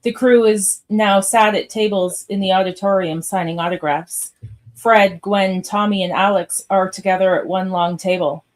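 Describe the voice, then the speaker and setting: A woman's voice: mid-range voice, steady tone, normal speed. A woman narrator reading screenplay stage directions aloud in a scripted live read.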